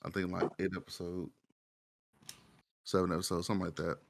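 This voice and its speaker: Low-voiced man in casual chat. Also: level tone, a little fast, vocal fry. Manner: rambling, informal, profane. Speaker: podcast panelist reviewing shows. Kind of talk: casual chat with slang and swearing.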